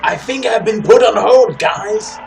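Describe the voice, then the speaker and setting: A man hosting a gaming let's play, chatting casually to his audience and reading game text aloud in an animated way. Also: high-pitched, unhurried, creaky, soft background music.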